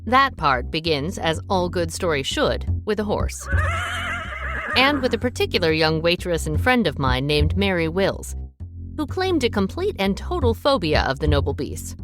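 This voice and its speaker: A headstrong, wry young woman narrating a radio drama. Her delivery is brisk and lively in pitch, with faint music underneath. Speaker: narrator and boss.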